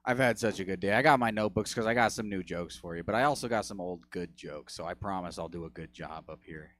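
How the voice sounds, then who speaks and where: A man's voice: low-pitched, fast, a bit bright. A man opening his stand-up set with upbeat, enthusiastic energy on a comedy theater stage.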